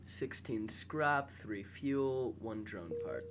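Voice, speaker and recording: A young man with a slightly nasal, congested voice, calmly commentating a space game and reading its text aloud while muttering to himself. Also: lowish voice, takes it slowly, background noise. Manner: relaxed, mumbles quietly to himself while playing. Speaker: gameplay host.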